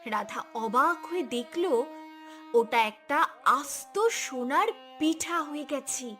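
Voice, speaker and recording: A woman narrator tells a scripted cartoon tale. Her voice is high and expressive in pitch, with clear music playing behind it. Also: slow pace.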